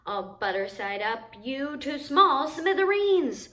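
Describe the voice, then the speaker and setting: A woman voicing a boastful male enemy soldier in a rhyming storybook, taunting with drawn-out threats. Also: high voice, sing-song, a bit bright, heavily acted. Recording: noticeable background noise.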